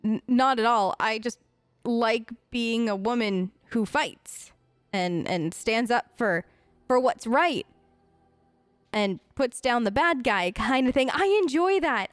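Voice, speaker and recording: High-voiced, playful woman gamer. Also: dry recording. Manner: playful, informal, chatty. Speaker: gaming host. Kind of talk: in-character role-play let's play commentary.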